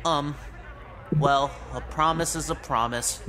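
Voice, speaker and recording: A man's voice: on the high side, steady tone, slow pace, pushed and bright, some chatter behind. An actor voicing an awkward, nerdy teenage boy in a visual novel, hesitant and eager to please. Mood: sheepish, confessing.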